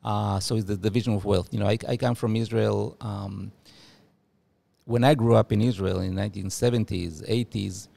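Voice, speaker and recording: Man, 40s, from Uruguay, gravelly and low, halting guest speech into a studio mic. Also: steady tone, dark tone, no room sound. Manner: halting, many restarts and fillers. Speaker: guest, former diplomat. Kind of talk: podcast interview. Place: studio office room. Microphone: studio mic with headphones.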